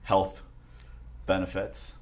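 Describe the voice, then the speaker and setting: A man's voice: slow pace, a little mellow. A man hosting a podcast, agreeing often and asking loose, casual questions. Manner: agreeable, frequent affirmations, asks loose questions. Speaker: podcast host. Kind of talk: casual interview chat.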